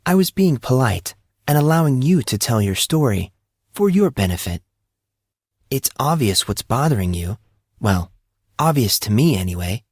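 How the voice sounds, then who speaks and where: A man voicing a calm, assertive teenage girl with a collected but demanding manner, in an audiobook reading. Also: mid-range voice, moderate pace, breathy edge, no room sound, clean studio sound, voice pushed up. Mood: collected, insistent.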